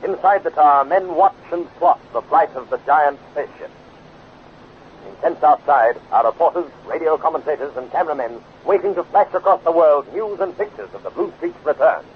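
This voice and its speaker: A man's voice: fairly high pitch, normal speed, natural voice. An actor performing as the dramatic, declamatory male narrator of a 1950s children's space adventure radio serial. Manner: declamatory, urgent. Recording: tinny, noisy 1950s radio recording.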